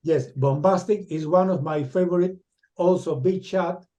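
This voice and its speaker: A man's voice: high-pitched, normal speed, mellow. A man whose first language is Spanish, interviewing in English with hesitant, non-native phrasing. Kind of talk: interview questions.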